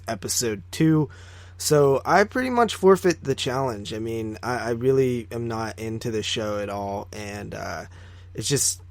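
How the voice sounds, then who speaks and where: A man co-hosts a podcast in a medium-pitched voice. He talks casually and loosely, swears freely and likes to tease. His opinions come out in sweeping exaggerations. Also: creaky, no room sound. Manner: profane, teasing. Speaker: podcast co-host.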